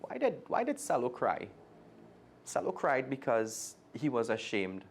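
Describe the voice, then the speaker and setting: A man's voice: medium pitch, huge pitch swings, unhurried, vocal fry, a little hiss or hum. A man with a Trinidadian accent reading a children's story aloud expressively and speaking directly to young listeners. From Trinidad and Tobago. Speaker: guest storyteller.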